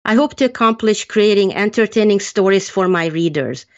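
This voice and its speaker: A woman from Hungary, an author answering interview questions in a measured, earnest way. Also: mid-range voice. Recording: muffled lo-fi sound.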